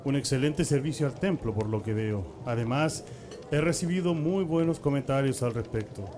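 An actor playing an approving, authoritative high priest in a religious radio drama, speaking in a measured, pondering way. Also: male voice, music in the background, close to an everyday voice. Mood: measured approval. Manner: measured, pondering, with thoughtful hums.

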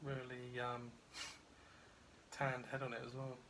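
A man's voice: medium pitch, monotone, slow pace, background noise. A man in his thirties chatting casually to camera in a kitchen at home, rambling a little and laughing at himself as he reviews a beer.

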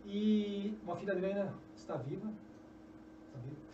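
A middle-aged man with a Brazilian Portuguese accent, a journalist and author telling history as a guest, animated and often restarting phrases, in a small podcast studio at a desk mic. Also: high voice, moderate pace. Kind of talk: storytelling interview answers. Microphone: desk mic on a stand. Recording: echoey, distant, dull, noisy.

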